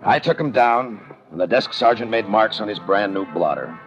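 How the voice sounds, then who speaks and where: An actor playing a hard-boiled, sardonic police lieutenant in a 1950s radio crime drama, with a clipped, tough delivery, on a muffled old recording. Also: male voice, on the low side, expressive, normal speed, over loud music, close to an everyday voice. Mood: dry, in control.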